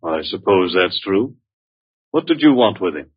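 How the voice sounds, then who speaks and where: An old, dull-toned radio drama recording. a supercilious gentleman with a fairly low, slightly rough voice. He is curt, suspicious and dryly sardonic. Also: very animated, a little slow, full character voice. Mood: dry, dismissive. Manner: clipped, sardonic, haughty. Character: suspicious, dry, supercilious male relative of a rich man. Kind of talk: 1940s-50s American radio drama acting.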